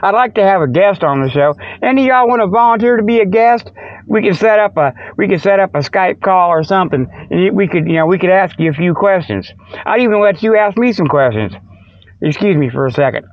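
A man's voice: sits high, animated, a little fast, no room sound. A man hosting a radio show in a rambling, folksy, jokey monologue full of homespun exclamations. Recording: muffled, dull lo-fi radio stream.

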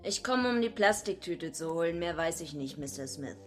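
A woman's voice: lowish voice, lively intonation, normal speed, faint music behind, natural voice. An actress playing a tough, streetwise young woman, narrating a radio drama in a wry, matter-of-fact way. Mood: casual, deferential.

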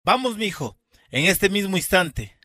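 A man's voice: high voice, big pitch swings, a little slow, bright, forceful tone, no echo, studio quality, soft background music, heavily acted. A man playing a courteous, obliging father and neighbour in a radio drama, explaining things politely in an Ecuadorian accent. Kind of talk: amateur radio drama acting.

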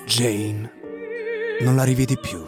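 A man's voice: mid-range voice, fairly even intonation, slow pace, close to an everyday voice. An actor playing a wistful male narrator recalling a lost lover in a lyrical, dramatic radio monologue. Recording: music behind the voice, radio drama. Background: clear music.

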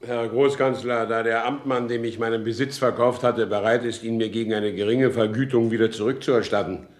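An actor playing a wronged horse dealer in a German radio drama, courteous and formal but insistent. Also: male voice, mid-range voice, fairly even intonation, brisk, close to an everyday voice. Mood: politely insistent. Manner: formal, deferential yet insistent. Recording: roomy studio radio drama recording.

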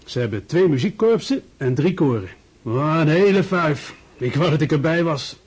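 Wry, husky army officer. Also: male voice, a little high, animated, normal speed. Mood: wry, amused. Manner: sardonic, casual, knowing. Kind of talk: radio play acting.

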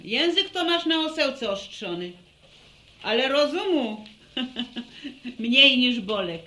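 An actress playing a scolding, sharp-tongued village mother in a radio drama, nagging and teasing her grown son. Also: high voice, very animated, takes it slowly, heavily acted. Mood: scolding, mocking. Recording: echoey room with background noise.

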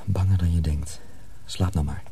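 A man's voice: sits low, steady tone, normal speed, dark tone, breathy edge, no echo. An actor playing a grave, secretive and protective older mill journeyman in a radio drama. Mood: guarded, afraid.

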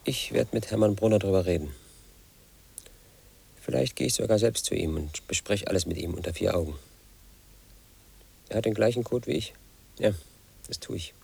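A man's voice: fairly low pitch, moderate pace, pauses often, darkish tone, heavily acted. An actor playing a confused, paranoid man in his late thirties, telling a rambling radio play monologue. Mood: hushed. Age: middle-aged. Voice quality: gravelly, husky. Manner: rambling, confiding, sinks to a whisper. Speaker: lead actor.

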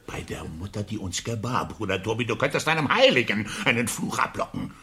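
An actor playing an irascible, rhetorical middle-aged gentleman in a literary radio play. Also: on the low side, sing-song, fairly quick. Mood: exasperated.

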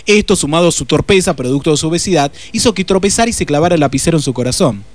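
A man's voice: a little high, expressive, rapid-fire, no echo, voice pushed up, lightly acted. An Argentine man playing a bumbling, evasive private detective with a hesitant, muttering manner in a radio play. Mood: flustered, evasive. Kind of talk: radio drama acting.

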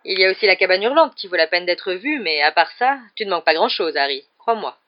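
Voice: mid-range voice, animated, lo-fi sound, natural voice. A reader as a grave, pompous older schoolboy. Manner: grave, measured.

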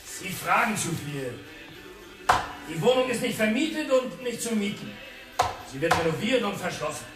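High-pitched, surly workman, echoey room. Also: very animated, normal speed, long pauses, loud music behind the voice. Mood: hostile. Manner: gruff, brusque. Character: surly, dismissive male workman guarding an empty flat. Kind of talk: radio crime drama acting. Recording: echoey room, loud background sound.

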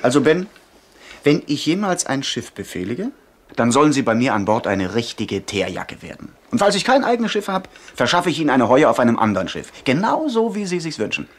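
Earnest young gentleman adventurer. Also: medium pitch, big pitch swings, brisk. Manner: polite, earnest, articulate. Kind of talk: 1970s German radio drama, dialogue and narration.

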